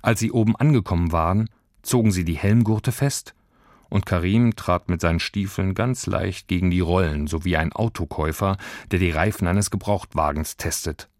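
A man's voice: deep voice, moderate pace, a little mellow, dry recording, clean studio sound. A man reading scripted narration for a children's story. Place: dry studio.

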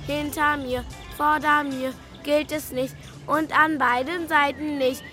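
A woman's voice: high-pitched, steady tone, takes it slowly, bright, forceful tone, soft background music, exaggerated voice. A female performer playing a patient young girl in a children's radio play. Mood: chanting.

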